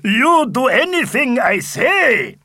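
Man doing a loud, slow, muddled Viking brute. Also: very high voice, sing-song, no echo, clean studio sound, voice pushed up, heavily acted. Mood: boastful. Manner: loud slow broken speech. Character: big scruffy male Viking warrior, proud, muddles words.

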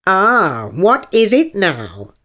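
Storybook unicorn, played by a woman: lofty, dreamy and sly, slow stretched words, lively swoops. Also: mid-range voice, pitched above the performer's own voice. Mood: eager. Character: haughty, dreamy male unicorn, eager and sly.

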